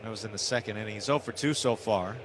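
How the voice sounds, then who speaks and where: A man's voice: lowish voice, fast talker. A man doing live radio play-by-play commentary of a baseball game. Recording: steady background ballpark noise. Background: outdoor stadium ambience.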